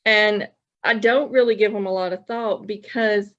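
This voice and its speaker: The recording is dull and lo-fi. A woman with a mid-pitched voice chats informally, like a guest on a podcast. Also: fairly even intonation, moderate pace, a bit bright. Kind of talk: casual chat. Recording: dull, lo-fi audio.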